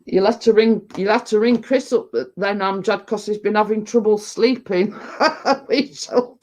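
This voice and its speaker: A woman's voice: mid-range voice, measured pace, darkish tone. A Lancashire woman in her fifties chatting casually on a home livestream, reading out viewer comments and rambling with frequent laughs. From Lancashire, England. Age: 50s. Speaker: livestream host.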